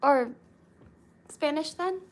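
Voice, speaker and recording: A young woman chatting casually with her viewers, relaxed and reading comments aloud. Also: high voice, takes it slowly. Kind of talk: casual chat with live chat.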